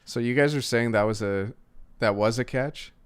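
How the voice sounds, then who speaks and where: A man's voice: mid-range voice, moderate pace, smooth. A man co-hosting a sports stream, explaining his points casually with frequent asides. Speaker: sports livestream co-host. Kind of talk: casual analytical sports and betting chat.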